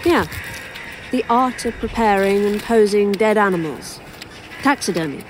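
A woman's voice: mid-range voice, a little slow, music in the background, lightly acted, low-quality recording. A woman playing a defiant, weary dead writer in an audio horror drama, with a British accent and a dry, wry delivery. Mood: wry, probing.

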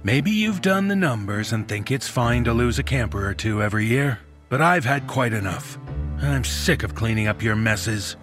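A man's voice: medium pitch, expressive, normal speed, over music, close to an everyday voice. A man playing a blunt, confrontational small-town sheriff in a horror audio drama, folksy and accusing in the way he talks. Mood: fed up, angry.

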